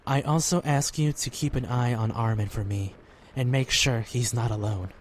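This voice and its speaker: Man acting as earnest, gentle young man, noisy background. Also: mid-range voice, normal speed, lightly acted. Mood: prayerful, sincere. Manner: polite, sincere, hesitant. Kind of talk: fan audio drama acting. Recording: clearly noisy background ambience.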